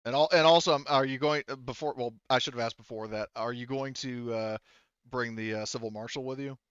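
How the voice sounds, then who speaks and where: Clear-voiced man, halting game master. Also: mid-range voice, fairly even intonation, fairly bright tone, no echo, lo-fi sound. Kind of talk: casual tabletop game narration.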